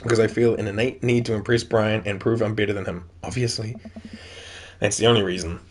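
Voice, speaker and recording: A man's voice: lowish voice, fairly even intonation, brisk, slight background noise. A young man with a New Zealand accent, reading a video game's dialogue aloud and joking between lines as a YouTuber. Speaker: gaming YouTuber. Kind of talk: reading game dialogue aloud with casual commentary.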